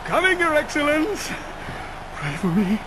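A man's voice: extremely high pitch, sing-song, a little slow, noisy background, full character voice. A man voicing a vain, cowardly alien superhero in a video game, in a bombastic, melodramatic announcer manner. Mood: sheepish. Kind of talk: video game cutscene comic acting.

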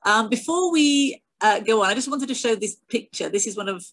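British woman with a gravelly edge. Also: a little high, lively intonation, brisk, fairly bright tone. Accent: British English. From Britain. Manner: chatty, many fillers and restarts. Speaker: guest speaker, astronomy educator. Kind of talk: online presentation with slides. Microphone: video call.

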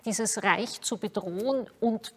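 A woman's voice: medium pitch, slow, a bit bright. Woman expert talking off the cuff, interview style, lots of room reverb. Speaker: expert commentator. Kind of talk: spontaneous explanatory interview commentary.

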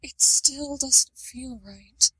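A despairing skeleton lady, acted by a woman speaks high and slow with a bright, breathy edge. She sounds downcast and fretful, a sorrowful cartoon figure. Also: fairly even intonation, no echo, heavily acted, poor audio. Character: sad, despairing female skeleton seeking help. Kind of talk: fan comic dub acting.

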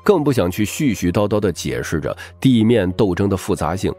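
Deep-voiced man narrating an audiobook. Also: big pitch swings, a little fast, warm tone, faint music behind. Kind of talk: scripted novel narration.

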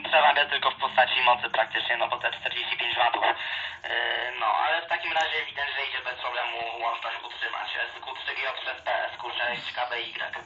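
Guy on ham radio, really bright piercing voice, dull radio speaker sound, chatty. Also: mid-range voice. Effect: two-way radio transmission. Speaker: amateur radio operator. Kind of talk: casual ham radio contact. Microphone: phone recording a handheld radio's speaker.

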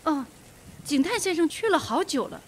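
A woman's voice: sits high, sing-song, moderate pace, a little hiss or hum. An actress playing a frightened young woman secretary in a radio drama. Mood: uneasy, subdued.

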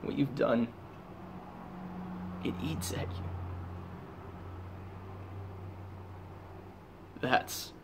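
Man acting slow, haunted war veteran. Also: mid-range voice, steady tone, creaky, background noise. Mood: tormented. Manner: halting, intense, with heavy pauses. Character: haunted, guilt-ridden male soldier back from war. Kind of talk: dramatic stage monologue.

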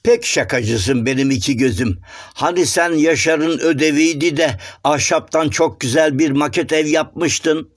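A man voicing a polite, chatty, eager gentleman in a children's cartoon, courteous and coaxing. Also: fairly high pitch, unhurried, dry recording, studio quality. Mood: cheerful. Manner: courteous, coaxing, sing-song explaining. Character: polite, chatty, eager, well-mannered male shadow-puppet gentleman.

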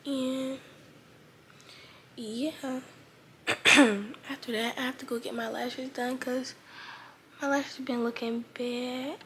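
A teenage girl in her bedroom, talking casually to her phone in a sleepy, raspy voice as if she just woke up. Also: high-pitched, steady tone, slow, pauses often, fairly bright tone, slight background noise. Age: teens. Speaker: vlogger. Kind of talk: casual morning vlog talk. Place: bedroom, indoors. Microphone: phone held close at arm's length.

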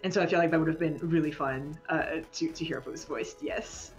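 A woman game developer chatting casually as an interview guest, rambling in an animated, giggly, hesitant way. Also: deep voice, brisk, soft background music. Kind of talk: casual spoken interview chat.

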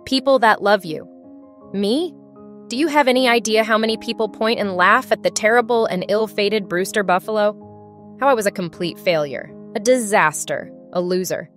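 A woman's voice: medium pitch, normal speed, natural voice. A battle-scarred female fighter plane, voiced by a woman, full of sorrow and shame. She speaks earnestly in a mid-range voice, like a confession in a cartoon drama, with music underneath. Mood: bitter, self-loathing. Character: ashamed, battle-scarred female warplane, sorrowful and self-loathing. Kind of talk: scripted character drama.

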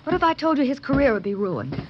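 A woman's voice: high voice, big pitch swings, fast talker, background noise. An actress playing a defensive, desperate young woman in 1940s radio drama style, pleading and fierce. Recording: muffled 1940s radio broadcast.

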